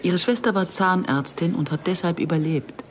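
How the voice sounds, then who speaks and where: A woman's voice: lowish voice, moderate pace, slight background noise, natural voice. An actress playing a guarded, reflective woman in her early fifties who narrates and converses soberly in a German radio drama. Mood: dry, reflective. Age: middle-aged.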